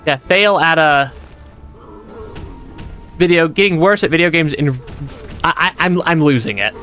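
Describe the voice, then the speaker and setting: Hoarse giggly male streamer, bright voice. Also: a little high, a little slow. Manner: giggly, laughs often, stammers and repeats words. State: losing his voice, voice cutting out. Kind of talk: casual gameplay commentary. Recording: music playing behind.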